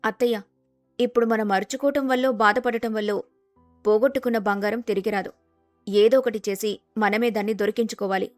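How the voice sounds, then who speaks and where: A woman playing a sensible, respectful young daughter-in-law who talks calmly and reassuringly in a dramatized story. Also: on the high side, brisk, no echo, faint music behind, natural voice. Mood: earnest, resolute. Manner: polite, calm, reassuring.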